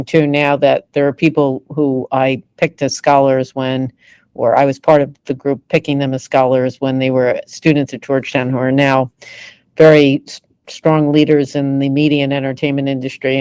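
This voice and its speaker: A woman's voice: a bass voice, a little mellow, clean tone, no echo. A woman in her sixties, a guest in a podcast interview, telling stories reflectively with many fillers and restarts. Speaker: podcast guest, media executive. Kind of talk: conversational storytelling.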